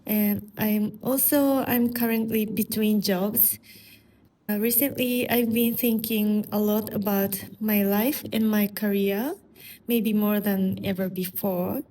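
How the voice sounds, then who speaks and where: A woman in her late twenties from Japan, a podcast guest who speaks in a calm, measured, reflective way. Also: medium pitch, slight background noise. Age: 20s. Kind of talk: casual chat.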